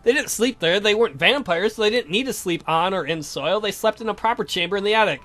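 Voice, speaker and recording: High-pitched man reading a game aloud. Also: quick pace, a bit bright. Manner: irreverent, swearing. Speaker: let's play host reading a visual novel aloud. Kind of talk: performed reading with joking asides.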